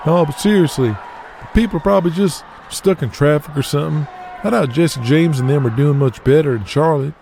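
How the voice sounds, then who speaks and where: Man putting on a high, chipper wrestler optimist. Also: fairly quick, vocal fry, over music. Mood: cheery, reassuring. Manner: upbeat, rambling, reassuring. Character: chipper male wrestler, naive reassuring optimist. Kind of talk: audio drama character voice.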